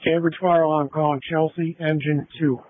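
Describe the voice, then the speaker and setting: A man's voice: on the high side, little pitch movement, normal speed, a little hiss or hum. A man working as a fire alarm dispatcher, giving formal announcements in short, repeated, clipped phrases over a two-way radio. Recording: narrow-band fire radio scanner audio.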